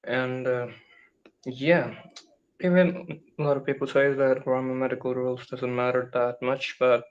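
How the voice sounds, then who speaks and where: Flat-voiced man with a mixed Indian accent. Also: mid-range voice, muffled recording. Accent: mix of Indian, American and British. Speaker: guest. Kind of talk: casual live-stream chat.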